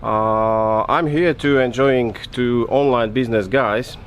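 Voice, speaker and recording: Polish man in halting English. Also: medium pitch, a little hiss or hum. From Poland. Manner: halting, non-native phrasing. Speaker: mastermind participant. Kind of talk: casual self-introduction.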